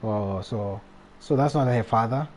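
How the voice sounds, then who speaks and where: A man live streaming a video game, reading text aloud and commenting casually in a halting way. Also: lowish voice, a little mellow. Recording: faint background noise, game ambience.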